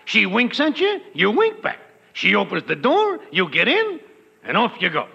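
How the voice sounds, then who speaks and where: An actor playing a wary, wisecracking working-class buddy in a 1940s radio comedy, talking slang. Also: male voice, high-pitched, huge pitch swings, normal speed, gravelly, pushed and bright, breathy edge, heavily acted. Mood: relishing the tale. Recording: noisy, echoing, dull old radio recording.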